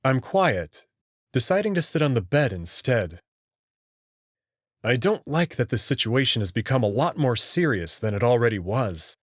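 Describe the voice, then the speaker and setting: This is a man playing the uneasy hero of a visual novel, telling his story in the first person. He is casual and a little wry, with lively intonation at an ordinary male pitch. The recording is dry and close. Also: dry recording. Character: anxious, skeptical human man narrating his own story.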